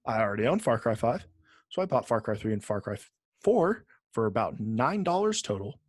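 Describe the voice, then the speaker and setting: A man's voice: mid-range voice, sing-song, moderate pace, no echo, clean studio sound. A man co-hosting a podcast, chatting casually about video games with wry mock-formal phrasing and some swearing. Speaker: podcast co-host.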